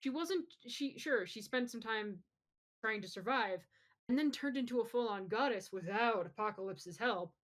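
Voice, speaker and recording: A woman's voice: fairly high pitch, normal speed. A woman co-hosting a podcast, chatting casually about pop culture in an informal, opinionated way. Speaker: podcast co-host. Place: live-streamed podcast.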